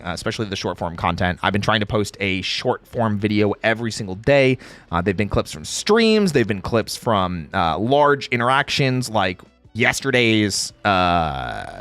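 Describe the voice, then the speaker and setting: A man's voice: on the low side, fairly bright tone, no echo. A man in a playful, casual livestream chat, reading out viewer comments and replying in slangy speech. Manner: playful, reads chat aloud and replies.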